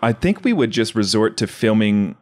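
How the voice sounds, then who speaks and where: A man's voice: lowish voice, sing-song, moderate pace, dry recording, studio quality. A young adult man co-hosting a podcast in casual, comedic conversation. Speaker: podcast co-host.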